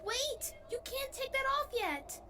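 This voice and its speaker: A woman's voice: extremely high pitch, big pitch swings, a little slow, full character voice. A woman voicing an anxious, secretive female cartoon pony with an urgent, evasive manner. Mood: panicked. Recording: clearly noisy cartoon audio with ambience.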